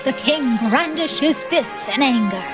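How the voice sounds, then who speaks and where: A woman's voice: high voice, animated, measured pace. A woman reading scripted, dramatic audiobook narration. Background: music.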